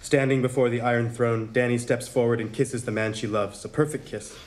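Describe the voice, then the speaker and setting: A man's voice: mid-range voice, fairly even intonation, a little fast. A man narrating script directions in a dramatic reading at a table read. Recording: room with faint noise and crowd.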